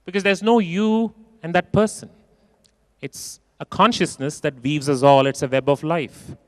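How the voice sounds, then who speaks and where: A man giving a keynote talk in a large conference hall, expressive and acting out quoted voices, repeating phrases for emphasis. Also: on the high side, unhurried, clean studio sound. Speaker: keynote speaker.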